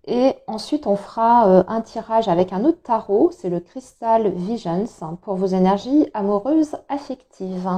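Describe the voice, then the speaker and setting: A woman talks through a monthly tarot spread, her voice soft and mellow. A cold has left her throat a touch hoarse. She hesitates often and fills gaps while she explains each card. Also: mid-range voice, expressive, unhurried, hardly any pauses. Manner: hesitant, many filler sounds, explanatory. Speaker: tarot reader. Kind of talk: monthly astrology tarot reading.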